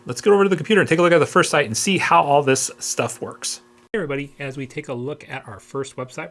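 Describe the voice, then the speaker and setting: Male tutorial host, medium pitch, informal and explanatory, speaking directly to his audience. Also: a bit bright. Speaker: host of screenwriting tutorial videos. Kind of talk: instructional vlog and screen walkthrough.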